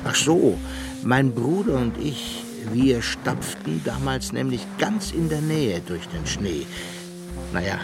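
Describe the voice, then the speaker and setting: An actor playing an elderly fairy-tale collector, narrating his memories in a measured way for a children's radio play. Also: medium pitch, big pitch swings, vocal fry, over loud music, heavily acted. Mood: wry reminiscing. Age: older.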